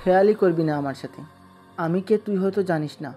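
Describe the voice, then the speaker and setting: A man's voice: sits high, a little fast, dark tone, faint music behind, lightly acted. A man playing an aggressive masked henchman in an audio drama, bullying and menacing. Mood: aggressive challenge. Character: threatening masked male gangster henchman, aggressive.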